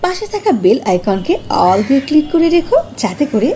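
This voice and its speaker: A woman's voice: high voice, sing-song, a little fast, mellow. A woman narrating a scripted fairy tale as a storyteller.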